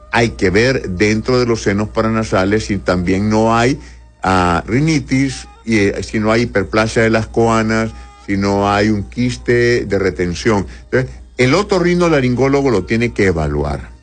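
A man hosting a call-in medical advice show, calmly explaining conditions and reading listeners' messages aloud. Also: fairly low pitch. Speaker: radio doctor answering listener questions.

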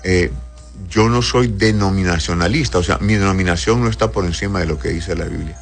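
A man's voice: low-pitched, a little fast, vocal fry, faint music behind. A man working as a doctor, reading listeners' health questions aloud and answering them in a live advice show, with speech and affectionate words for his callers. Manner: reads viewers' messages aloud, answers tenderly, calls them "corazón".

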